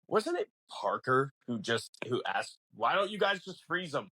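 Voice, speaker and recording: Animated man explaining in casual, halting conversation. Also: mid-range voice, slightly rough, dry recording. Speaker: podcast co-host. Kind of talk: casual film discussion.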